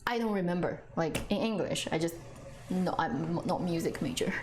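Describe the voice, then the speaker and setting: A woman's voice: on the low side, normal speed, a little mellow, a little hiss or hum. A woman whose first language is Chinese, chatting casually on a live stream and answering viewers. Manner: chatty, frequent restarts, laughs often.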